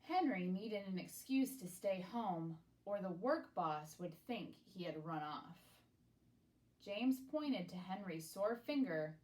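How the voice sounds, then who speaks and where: Female storybook reader, mid-pitched, slow pace, expressive and deliberate phrasing. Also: rough recording. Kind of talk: expressive read-aloud of a children's picture book.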